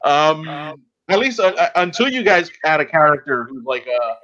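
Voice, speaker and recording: A man hosting a casual podcast chat, talking in a rambling, halting, geeky way. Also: fairly high pitch, animated, pushed and bright, lo-fi sound. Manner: self-described geek, rambling and halting. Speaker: podcast host.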